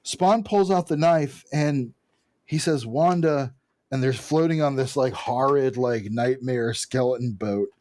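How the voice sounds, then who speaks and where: A man's voice: medium pitch, a little slow, darkish tone. A man co-hosting a podcast, casually recapping and commenting on a comic book in a conversational way. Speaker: podcast co-host.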